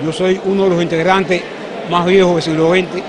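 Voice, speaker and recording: A man's voice: high voice, level tone, moderate pace, background noise. A man of seventy-five with a Cuban accent, a veteran orchestra musician, speaking in an interview.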